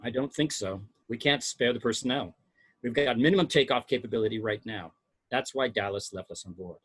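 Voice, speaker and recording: A man's voice: mid-range voice, brisk, a bit bright, slightly rough. A man playing a coolly dismissive spaceship science officer in an amateur table read, matter-of-fact and unemotional.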